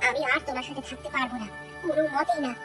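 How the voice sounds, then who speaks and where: A woman's voice: high voice, steady tone, rapid-fire, distant mic, close to an everyday voice. A woman voicing a righteous, resolute wife in a cartoon drama, firm and accusing. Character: righteous, resolute wife confronting a crooked man. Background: music.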